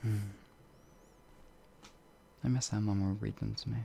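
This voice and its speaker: A man voicing a shy, gentle werewolf in an ASMR audio roleplay, hesitant and halting, with a breathy, gravelly voice that often drops toward a whisper. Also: fairly low pitch, steady tone, slight background noise. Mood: gentle, wistful. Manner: hesitant, timid, halting, with sighs and trailing phrases. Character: shy, gentle, bumbling pacifist male werewolf, older than he looks.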